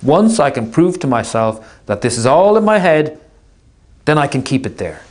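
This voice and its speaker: A man doing a calm, formal young genius. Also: medium pitch, moderate pace, voice pushed up, lightly acted. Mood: serenely deluded.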